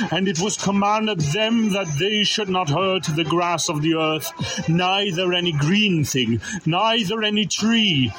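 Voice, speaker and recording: A man's voice: on the high side, poor audio. A man reading old-fashioned Bible scripture aloud in a solemn style. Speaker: scripture reader.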